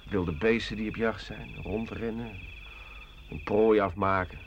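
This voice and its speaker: An actor playing a rough, talkative soldier turned drifter, world-weary and colloquial, in a Dutch radio play. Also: male voice, fairly low pitch, fairly even intonation, measured pace. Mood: haunted. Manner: colloquial, hesitant, chatty storytelling. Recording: dull, noisy old radio recording.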